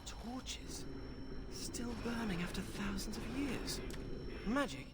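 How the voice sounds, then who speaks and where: A man's voice: high voice, takes it slowly. An actor playing a fussy, sheltered young male mage scholar in a fantasy game, prissy and bookish. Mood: fascinated. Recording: noisy game audio with ambience.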